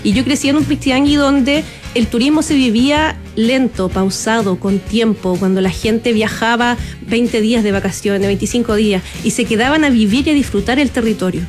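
A woman with a Chilean accent talks as a guest on a radio show, her speech eager and flowing. Her voice sits a little high. Faint music hums underneath her. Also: moderate pace, hardly any pauses. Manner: enthusiastic, flowing, with Chilean fillers. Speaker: tourism entrepreneur guest. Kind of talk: radio interview.

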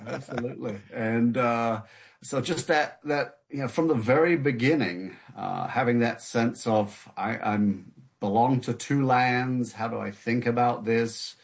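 A man's voice: medium pitch, a little slow, gravelly. A man from the United Kingdom, a guest author in an interview conversation, speaking thoughtfully with frequent fillers and restarts. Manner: hesitant, many restarts and fillers.

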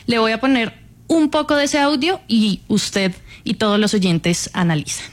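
A woman's voice: on the high side, a little slow. A woman on a radio panel, presenting news in a matter-of-fact way.

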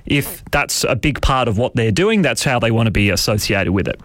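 A man hosting a talk radio show, speaking with a hoarse, raspy voice in a measured, explanatory way. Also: mid-range voice, expressive, fairly bright tone, no echo. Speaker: radio host. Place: radio studio.

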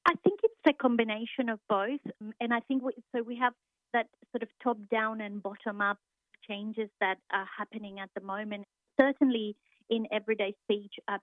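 A woman from Argentina, a Spanish speaker, answering interview questions as a university lecturer, thoughtful and pausing often to find her words. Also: on the high side, no echo. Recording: tinny phone line.

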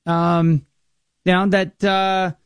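An American man with a raspy voice from New York, hosting a talk radio show in an emphatic, jokey way. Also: high voice, little pitch movement, extremely slow, dry recording. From New York, United States. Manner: emphatic, jokey, slips into impression bits. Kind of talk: political talk show monologue with comic bits.

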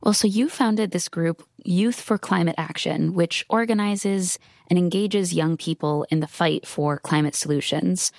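A woman's voice: on the low side, unhurried, vocal fry, hardly any pauses, darkish tone, no room sound, studio quality. A woman hosting an interview programme, reading scripted introductions and putting questions to a guest. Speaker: radio host and interviewer.

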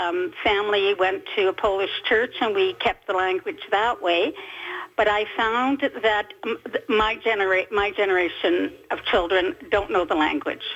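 Elderly female phone-in caller, mid-pitched, halting casual reminiscence, slightly muffled telephone audio. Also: fairly even intonation, a bit bright. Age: older. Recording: dull telephone line to radio.

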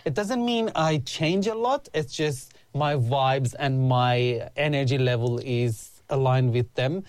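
A man's voice: mid-range voice, slow, no echo. A man in his thirties, originally from Afghanistan and raised in Iran, speaking earnestly as an interview guest, often restarting his sentences. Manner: earnest, with frequent restarts and "like" fillers. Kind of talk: casual interview chat.

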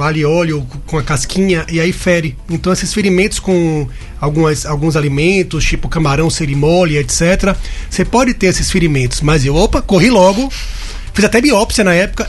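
A man's voice: a little high, a little fast, slight background noise. A man hosting a radio health talk show, chatty and telling personal stories. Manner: chatty, anecdotal, casual.

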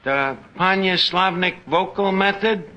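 An actor playing a sarcastic tavern manager who delivers deadpan wisecracks, in old-time radio comedy style. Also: male voice, high voice, unhurried. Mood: wry, teasing. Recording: noisy old radio recording.

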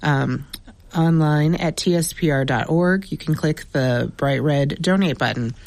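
A woman managing a radio station, making an earnest on-air pledge appeal with many fillers, her voice raspy as she loses it. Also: low voice, unhurried, darkish tone, no echo. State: losing her voice.

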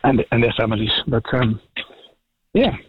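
A man's voice: mid-range voice, lively intonation, moderate pace, slightly rough, no echo, muffled recording. A middle-aged man with a Dutch accent, a farmer calling in to a radio show, talking casually with many ums and restarts. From Netherlands. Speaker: dairy farmer, caller. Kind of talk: casual radio phone chat.

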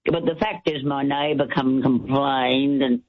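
A man's voice: mid-range voice, steady tone, normal speed, phone-quality sound. A man calling in to a radio show and rambling on about a complaining neighbour in casual chat. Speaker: caller.

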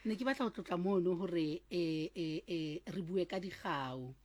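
A woman's voice: lowish voice, moderate pace, darkish tone. A South African woman hosting an interview, asking questions and encouraging her guest with frequent murmurs of agreement. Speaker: interview host. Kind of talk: conversational interview, mixing Sesotho and English.

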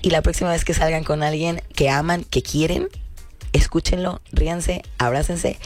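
A man's voice: on the high side, steady tone, normal speed, faint music behind. A man, a show guest, offering reflective advice, hesitant at first and then encouraging. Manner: hesitant, repeats words, then speaks in encouraging imperatives.

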